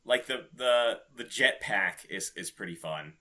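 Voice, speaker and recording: A man's voice: lowish voice, expressive, measured pace, pushed and bright. A man co-hosting a podcast in casual gaming chat, with a giggly, swearing manner. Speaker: podcast co-host.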